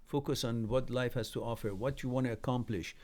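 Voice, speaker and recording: A man's voice: medium pitch, fairly even intonation, brisk, a little mellow. A man whose first language is Farsi chats live with his viewers, reading their comments aloud and answering them. His advice is blunt but easygoing, and he laughs often between sentences.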